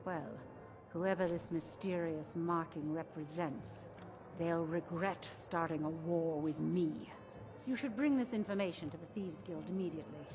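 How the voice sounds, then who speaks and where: Woman playing a cold, commanding low-voiced crime boss. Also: fairly even intonation, unhurried, lightly acted. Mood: cold, displeased. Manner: curt, imperious, businesslike. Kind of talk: fantasy video game acting. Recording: music playing behind the voice.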